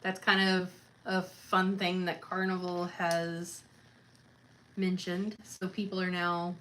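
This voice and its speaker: A woman in her thirties with a somewhat low voice chats casually on a cruise livestream, relaxed and matter-of-fact. A faint background hum sits under the talk. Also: takes it slowly, low-quality recording. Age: 30s.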